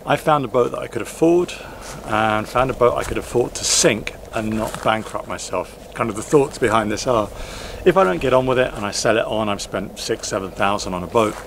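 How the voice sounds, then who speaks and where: A man's voice: lowish voice, brisk, vocal fry, few pauses, background noise. Reflective man vlogging outdoors at a marina. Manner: relaxed, reflective, with frequent fillers and restarts. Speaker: sailing vlogger. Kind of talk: casual talk to camera. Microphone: camera-mounted mic, handheld selfie framing.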